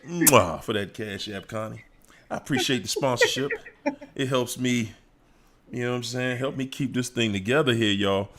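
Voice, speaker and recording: A man's voice: fairly low pitch, a bit bright. A man hosting a casual livestream, greeting viewers by name in a playful, hyped-up way and singing little snippets. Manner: playful, hypes up chat, sings snippets and laughs. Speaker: livestream host.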